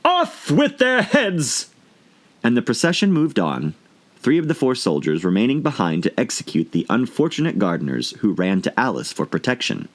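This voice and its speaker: Low-voiced, very animated male storybook narrator. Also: a little fast. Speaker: audiobook reader.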